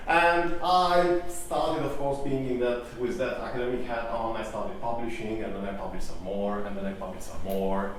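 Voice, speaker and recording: A man's voice: lowish voice, steady tone, normal speed, hardly any pauses, a little hiss or hum. A middle-aged man giving a live conference talk, hesitant and full of ums and restarts. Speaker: conference speaker. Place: conference hall. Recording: echoey hall from far off.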